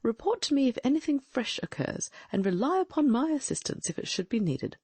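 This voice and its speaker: A woman performs a confident Victorian detective who thinks aloud in crisp, exact sentences. The voice moves up and down a lot, with a gravelly, slightly husky grain. The recording is very dry and close. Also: high voice, sing-song, fairly quick, a little mellow, no echo, exaggerated voice. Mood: deducing. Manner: crisp, reasoning aloud, precise diction. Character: brilliant Victorian gentleman detective, male, sharp and confident. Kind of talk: audiobook dramatic reading.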